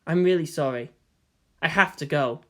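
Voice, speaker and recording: A man voicing a gentle, polite teenage boy with an apologetic, resigned manner in a narrated story. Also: fairly high pitch, moderate pace. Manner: soft-spoken, apologetic.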